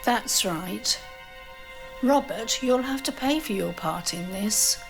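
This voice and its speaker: A woman playing a genteel, motherly older mistress of a manor in an audio drama, speaking in a measured way. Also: medium pitch, animated, gravelly, music in the background. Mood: firm, motherly.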